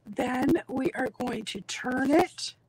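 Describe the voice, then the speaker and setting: A hoarse woman with a croaky voice, walking through a craft tutorial step by step in a reassuring, casual way. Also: high-pitched, slow pace, low-quality recording. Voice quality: croaky, husky. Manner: reassuring, instructional. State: hoarse, losing her voice. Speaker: craft tutorial host, demonstrator.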